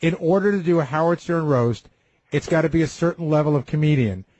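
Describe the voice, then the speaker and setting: A man's voice: fairly high pitch, animated, brisk, a little mellow. A man hosting a radio talk show, chatting casually and explaining his views in a conciliatory way. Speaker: radio show host and producer.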